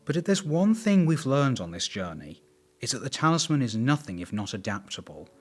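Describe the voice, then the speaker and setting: A man's voice: medium pitch, big pitch swings, fairly quick. A man reading scripted documentary narration.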